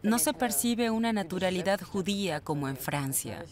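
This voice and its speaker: A woman voicing a traveler's personal reflections for a documentary dub, at times halting. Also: mid-range voice, animated, darkish tone, lightly acted. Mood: reflective.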